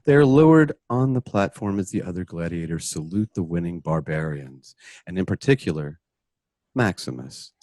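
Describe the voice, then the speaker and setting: A man with a husky voice narrating a radio drama from a script. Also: sits low, expressive, moderate pace, a little mellow, no echo, clean studio sound.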